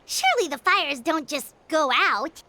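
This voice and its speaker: A woman voicing a tiny floating fairy-like girl companion in a video game, chirpy and excitable, firing off eager questions. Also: high-pitched, heavily acted. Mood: curious. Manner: quick eager questions, bouncy and boastful. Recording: game audio with loud background noise.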